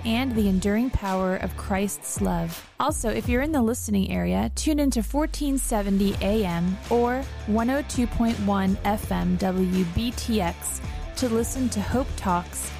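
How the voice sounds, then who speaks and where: A woman's voice: mid-range voice, vocal fry. Upbeat woman announcer, scripted radio intro, music underneath. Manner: polished and upbeat. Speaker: show announcer.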